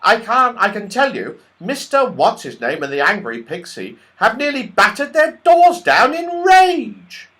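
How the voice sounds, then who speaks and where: A man's voice: high-pitched, sing-song, a little slow, few pauses, bright, forceful tone, pitched above the performer's own voice, exaggerated voice. A man voicing a tiny red squirrel in a children's storybook, with a thin voice and an urgent, worried manner. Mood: agitated, worried. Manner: agitated, urgent explaining. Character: tiny little red squirrel, anxious messenger.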